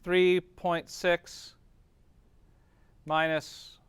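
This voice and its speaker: Clear-voiced male teacher, close dry sound. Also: high-pitched, level tone, drawn-out words, dry recording. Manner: informal, checks understanding with short tag questions. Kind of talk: classroom lesson, explaining step by step.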